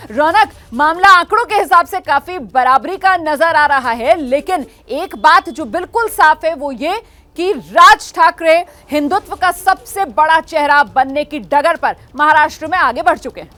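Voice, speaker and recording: Female live news anchor. High pitch, bright and forceful, emphatic rhetorical delivery. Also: lively intonation, normal speed.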